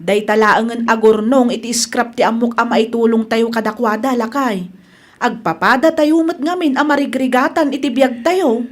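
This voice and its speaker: A woman's voice: on the high side, moderate pace, natural voice. A woman with a Filipino Ilocano accent playing a kind, generous storekeeper wife in a radio comedy-drama. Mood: considerate.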